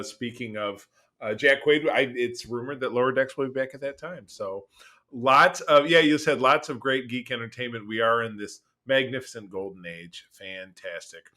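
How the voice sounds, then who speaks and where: Bright, emphatic male podcast co-host. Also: mid-range voice. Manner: animated, emphatic, repeats phrases. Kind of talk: casual chat about film and TV news.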